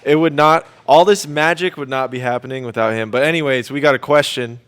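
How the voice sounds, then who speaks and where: A young adult man hosts a student radio show. His voice sits at a middle pitch. He talks informally, asking questions and chatting as an interviewer. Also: brisk, a bit bright, smooth. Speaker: student host of a radio class Q&A.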